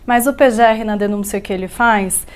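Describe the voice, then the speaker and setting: A woman's voice: medium pitch, normal speed. A woman journalist with a Brazilian accent, asking formal, persistent interview questions.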